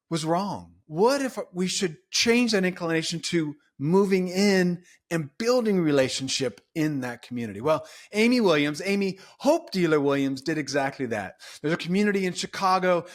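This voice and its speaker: Talkative male podcast host, higher voice. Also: animated, normal speed. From California. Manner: conversational, asks questions, rambling asides. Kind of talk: faith podcast interview.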